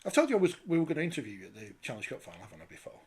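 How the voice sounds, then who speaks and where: A man's voice: medium pitch, huge pitch swings, racing, slightly rough. A man hosting a rugby league talk show, teasing guests and reading viewer questions. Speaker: show host. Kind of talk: casual panel chat.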